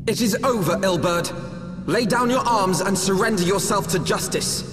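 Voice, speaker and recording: Actor as high-voiced earnest young noble. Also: male voice, music in the background, lightly acted. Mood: stern. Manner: formal, measured, courtly. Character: earnest, well-bred young male noble. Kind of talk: dramatic video game acting.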